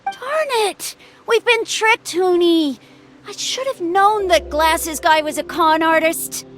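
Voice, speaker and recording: A woman's voice: very high voice, slow pace, fairly bright tone, faint music behind, full character voice. A woman voicing a spirited, outspoken young child in a video game. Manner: outspoken, indignant.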